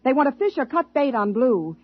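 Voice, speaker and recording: An American actress playing a stern, formal, impatient expedition commander in a 1950s science fiction radio drama. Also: female voice, high-pitched, very animated, brisk, no room sound. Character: stern, by-the-book female space expedition commander. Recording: dull lo-fi 1950s radio recording.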